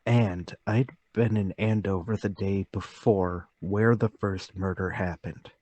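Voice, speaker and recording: A man's voice: low-pitched, fairly even intonation, a little slow, a little mellow, no echo, highs cut off. An actor playing a meek, troubled travelling salesman, hesitant and deferential, in a table read of a period murder mystery. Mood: anxious, unsure.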